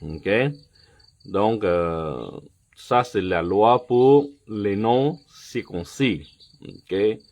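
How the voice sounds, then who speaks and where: A man with a fairly low voice preaches in measured, unhurried phrases. He is not a native speaker, so his French is careful and has small slips. He stops often to ask his flock "ok?" before going on. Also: darkish tone. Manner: slow and deliberate, checks in with "ok?", many pauses and fillers. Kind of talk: sermon and Bible teaching.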